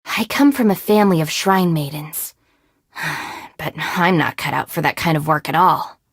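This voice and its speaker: A capable young woman, second-in-command of a gang in a video game, speaks in a slightly low voice. She is composed and level-headed, with a dry, matter-of-fact delivery. The sound is close and dry, with no room to it. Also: unhurried, husky, dry recording, full character voice. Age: young adult. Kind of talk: video game character voice lines.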